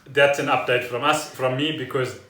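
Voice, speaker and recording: A man's voice: medium pitch, fairly even intonation, normal speed, sharp tone, a little hiss or hum. A South African man chatting casually and loosely as a podcast host. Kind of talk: casual rambling chat. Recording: echoey room, distant microphone.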